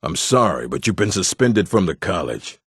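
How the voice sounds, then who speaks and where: A man voicing a gruff, blunt, weary orc with a gravelly, husky voice for a video game. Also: sits low, sing-song, normal speed, dry recording, clean studio sound, heavily acted. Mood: weary, guarded. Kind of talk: video game character acting.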